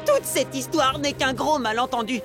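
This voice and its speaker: Woman voicing an excitable high-pitched cartoon boy amoeba. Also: normal speed, natural voice. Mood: guilty. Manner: excitable, dramatic, stammering. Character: boastful preteen boy amoeba, wannabe superhero, guilt-prone. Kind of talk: French animated series dub acting. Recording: loud music bed behind the voice.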